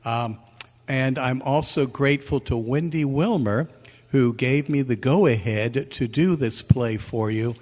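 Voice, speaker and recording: A man, a playwright, introducing his work to a live audience in a halting, earnest way, in a reverberant meeting room. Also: medium pitch, darkish tone. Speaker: playwright and director. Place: large meeting room at a convention. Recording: echoey hall, long reverb.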